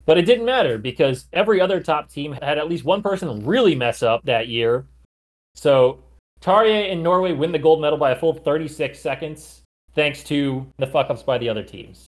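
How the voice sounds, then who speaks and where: A man with a slightly higher-than-average voice co-hosts a podcast in a loose, chatty way. He walks through facts and results, filling the gaps with "like" and "you know" and now and then restarting a phrase. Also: animated, moderate pace. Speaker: podcast co-host. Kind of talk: casual chat, recounting a sports career.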